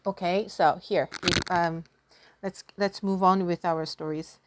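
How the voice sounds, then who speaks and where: A woman's voice: lowish voice, steady tone, a little slow, noisy background. A woman giving a casual lesson through storytelling, chatty and self-deprecating, often thinking aloud as a teacher. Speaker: Korean language teacher.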